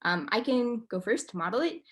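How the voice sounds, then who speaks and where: A woman's voice: on the high side, very animated, brisk. A woman hosting a casual check-in on a video call. She talks in a friendly, hesitant way, with plenty of ums. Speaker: workshop facilitator. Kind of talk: casual online group check-in.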